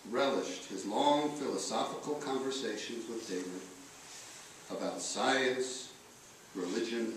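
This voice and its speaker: Guy reading a eulogy real slow and measured, super echoey, mic pretty far away. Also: medium pitch. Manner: measured, deliberate phrasing with pauses. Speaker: eulogist reading letters at a memorial. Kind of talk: solemn read-aloud eulogy. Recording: echoey room, distant mic, faint noise.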